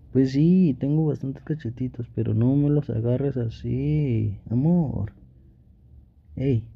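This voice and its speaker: A man's voice: mid-range voice, vocal fry, phone-quality sound, heavily acted. A young man playing an affectionate, teasing, pouty boyfriend in an intimate ASMR roleplay, talking playfully and pleadingly with sweet pet names. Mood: protesting, playful. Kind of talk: Spanish ASMR boyfriend roleplay, intimate one-sided dialogue.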